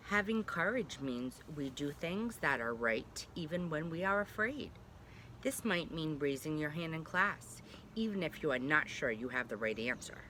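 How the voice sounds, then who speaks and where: A woman preschool teacher reading a storybook aloud to young children, gently and reassuringly, with chatty asides. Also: low voice, slight background noise, low-quality recording. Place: at home.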